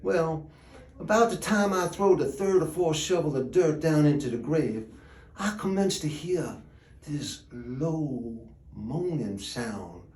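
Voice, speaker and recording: An actor playing a wry, plainspoken rural gravedigger, telling a yarn in a drawling folksy dialect with dramatic pauses. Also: male voice, fairly high pitch, animated, darkish tone. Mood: wry, uneasy. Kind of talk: theatrical storytelling monologue. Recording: echoey room.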